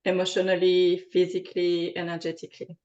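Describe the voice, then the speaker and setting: A woman's voice: lowish voice, monotone, a little fast, a little mellow, muffled recording. A French woman with a French accent, answering interview questions in a hesitant way, with many fillers. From France. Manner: hesitant, many ums and uhs. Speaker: podcast guest, aromatherapist.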